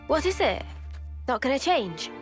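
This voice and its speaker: A woman's voice: high voice, big pitch swings, unhurried, faint music behind. A woman playing a composed, frank young soldier in a video game, matter-of-fact.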